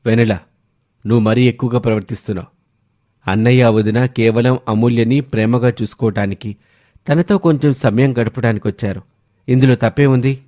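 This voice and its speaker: A man's voice: mid-range voice, fairly even intonation, a little fast, dark tone, no room sound, clean studio sound, close to an everyday voice. A man voicing a secretive young husband and father who talks in a reassuring, persuasive way in a story. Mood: indignant.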